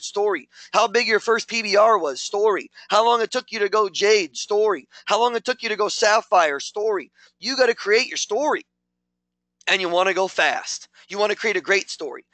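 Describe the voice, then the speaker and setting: High-pitched, hyped man coaching a sales team. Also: sharp tone, no echo. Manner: punchy, repetitive, hyped coaching. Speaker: network marketing team leader. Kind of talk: motivational training talk.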